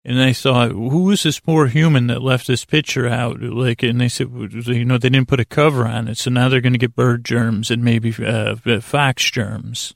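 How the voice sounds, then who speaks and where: Male bedtime podcast host: gravelly, even-toned, soothing, a hesitant and self-mocking rambler. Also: mid-range voice, no echo, studio quality. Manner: meandering, halting, self-deprecating, repeats himself; lulling, soothing delivery.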